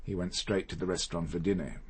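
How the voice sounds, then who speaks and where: Radio drama role: proud, guilt-ridden older gentleman, low and very husky, formal, deliberate delivery. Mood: measured, confessional.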